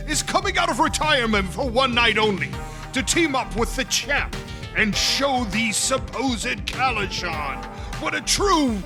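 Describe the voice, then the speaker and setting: A man voicing an excitable alien sports announcer in a radio drama, with a grandiose showman's delivery. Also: very high voice, exaggerated voice. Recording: music behind the voice.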